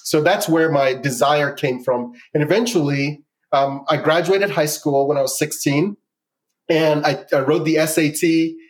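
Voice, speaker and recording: A man's voice: on the high side, a little slow, rough recording. Reflective man from Ghana. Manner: reflective, laughs often, repeats words. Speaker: guest. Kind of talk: casual interview.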